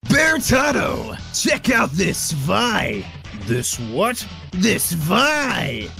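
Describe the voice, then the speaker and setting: A man voicing loud, goofy cartoon characters in an amateur comic dub. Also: high-pitched, huge pitch swings, very slow, vocal fry, music in the background, pitched above the performer's own voice, full character voice.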